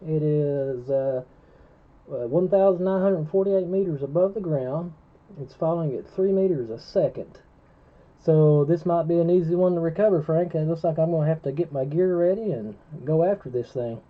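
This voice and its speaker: Higher-voiced man on dull lo-fi radio. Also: normal speed. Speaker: amateur radio operator. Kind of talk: casual informative ham radio chat. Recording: dull lo-fi ham radio audio.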